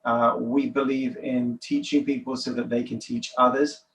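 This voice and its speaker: A man's voice: medium pitch, level tone, normal speed, fairly bright tone. British man, distant from the mic. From United Kingdom. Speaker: podcast guest, nonprofit director. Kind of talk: explanatory interview talk. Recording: distant mic, faint background noise.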